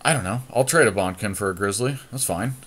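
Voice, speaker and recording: A man's voice: lowish voice, fast, a bit bright. A man co-hosting a card game podcast, thinking aloud in a casual, analytical way as he talks through his options step by step.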